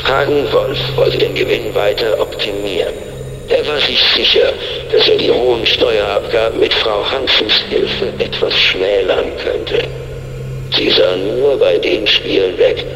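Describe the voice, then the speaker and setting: An actor playing a mysterious, commanding masked informant in an audio drama, speaking in a deliberate, stern and formal way. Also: male voice, fairly low pitch, natural voice. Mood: grave, explaining. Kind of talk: German audio drama acting. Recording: echoey reverberant space, some noise.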